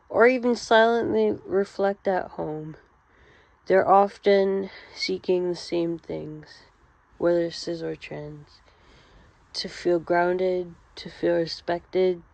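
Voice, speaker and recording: In a roomy, slightly muffled recording, a woman leads a therapy-style monologue. Her voice sits a little low for a woman. She speaks gently and deliberately, leaving pauses between short phrases. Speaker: therapy-style guide addressing men. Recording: roomy, slightly dull lo-fi sound.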